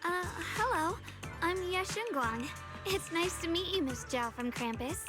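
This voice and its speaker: A modest young swordswoman in a video game. The voice is high and gentle, polite and a little halting, with light music behind. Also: expressive. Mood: shy, flustered. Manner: hesitant, polite.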